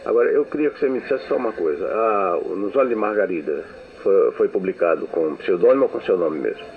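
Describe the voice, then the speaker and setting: A man's voice: low-pitched, lively intonation, quick pace. A man interviewing a guest for a radio station, speaking in a courteous and formal way. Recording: narrow, phone-like old radio archive.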